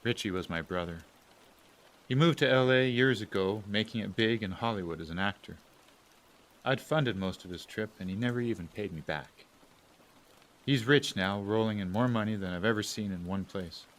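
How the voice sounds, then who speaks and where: A man plays a resentful, worn-down family man in an audio drama. His voice sits a little low, with a sardonic edge, and rain patters behind him. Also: moderate pace, a bit bright, natural voice. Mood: weary, resentful. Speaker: actor. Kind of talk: audio drama acting, dialogue and narration to the audience.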